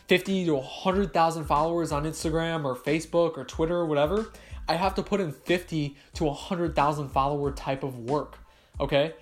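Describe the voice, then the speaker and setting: A young man hosting a podcast, delivering a blunt, profane motivational rant. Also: on the high side. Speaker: podcast host.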